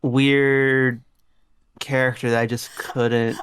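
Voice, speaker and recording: A woman's voice: a bass voice, flat delivery, slow, a little hiss or hum. A woman co-hosting a casual anime podcast, rambling and saying "like" a lot. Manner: rambling, heavy use of "like". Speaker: podcast co-host.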